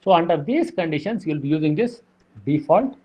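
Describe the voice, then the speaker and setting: A man teaching programming, explaining slowly with many fillers and repeated "okay". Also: on the high side, expressive, mellow, breathy edge. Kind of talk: lecture explaining code.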